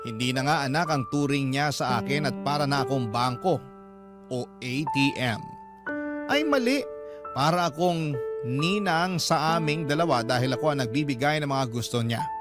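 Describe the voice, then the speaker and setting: A man's voice: fairly high pitch, expressive, moderate pace. A man narrating a radio drama in scripted first-person storytelling style. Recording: music bed behind the narration.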